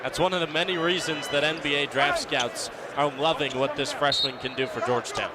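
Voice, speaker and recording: A male color commentator analyses a live basketball game. His voice is fairly high. Arena noise is clearly audible behind him. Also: steady tone, brisk.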